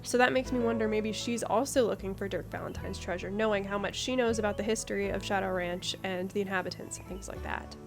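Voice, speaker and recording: A woman chats her way through a video game, reasoning aloud in an expressive, casual way. Her voice has a medium pitch, and music plays clearly behind her. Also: quick pace, hardly any pauses. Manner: chatty, expressive thinking aloud. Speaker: game streamer. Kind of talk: casual playthrough commentary.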